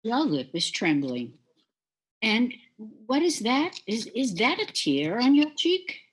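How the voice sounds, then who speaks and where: Woman as a slow, lilting, commanding spirit. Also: fairly high pitch, big pitch swings, breathy edge, full character voice, rough recording. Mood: gently probing. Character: calm, commanding, gentle spirit of Christmas past. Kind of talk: radio play acting.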